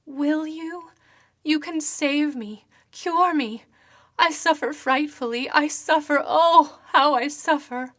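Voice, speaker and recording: A woman voicing a mysterious, suffering ghostly lady in a horror story, pleading in drawn-out moans. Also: sits high, fairly bright tone, no echo, clean studio sound, voice pushed up. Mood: anguished pleading. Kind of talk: theatrical horror story reading.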